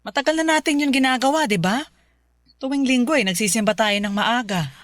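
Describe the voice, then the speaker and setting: A woman's voice: fairly high pitch, fairly quick, dry recording, studio quality, natural voice. A woman playing a devout Filipino mother in a radio drama, chiding and instructing her child in a motherly way. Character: devout scolding mother of a teenage daughter.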